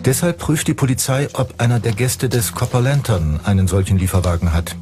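A man narrates a true-crime documentary from a script. His voice sits at a medium pitch, with music clearly playing behind it. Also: brisk, a little mellow.

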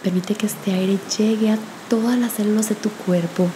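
A woman leading a guided spiritual meditation in a gentle, soothing manner with long pauses. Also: medium pitch, steady tone, background noise.

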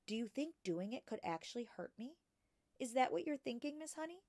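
Curious, precocious little girl acted by a woman, storybook read-aloud, eager and excitable, in a dry space. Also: high voice, a little fast, no room sound, pitched above the performer's own voice, exaggerated voice. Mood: questioning. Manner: excited, questioning, childlike eagerness. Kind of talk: children's storybook character reading.

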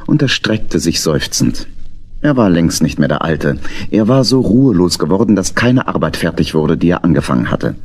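Deep, husky male storyteller. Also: darkish tone. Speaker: narrator. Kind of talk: scripted storytelling for a children's radio play.

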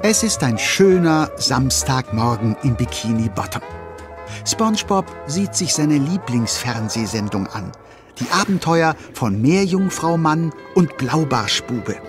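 A man's voice: mid-range voice, very animated, a little slow, over music. A man narrating a children's cartoon audio play in a playful, scripted storyteller style. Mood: cheerful. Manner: playful, addresses young listeners.

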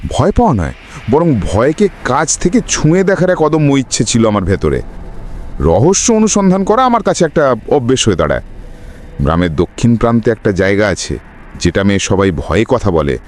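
A man narrating a scripted horror story in a dramatic, suspenseful, expressive way. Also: on the low side, moderate pace.

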